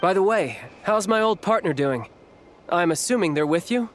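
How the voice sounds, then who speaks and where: An actor playing an excitable, cheeky young warrior in a video game, with an expressive, boyish delivery. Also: male voice, high-pitched, moderate pace, a little hiss or hum. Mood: businesslike. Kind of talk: English video game voice acting. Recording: game music behind the voice.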